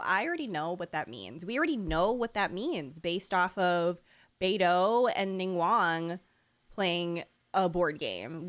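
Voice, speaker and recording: Very animated, giggly young woman streamer. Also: fairly low pitch. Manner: giggly, excitable, slangy. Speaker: streamer reacting to a game. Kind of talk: casual reaction commentary. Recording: game music behind.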